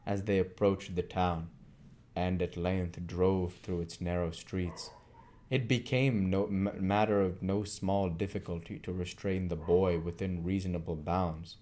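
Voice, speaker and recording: Very mellow, low-voiced man reading aloud. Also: fairly even intonation, a little slow. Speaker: audiobook reader. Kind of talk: reading a classic novel aloud.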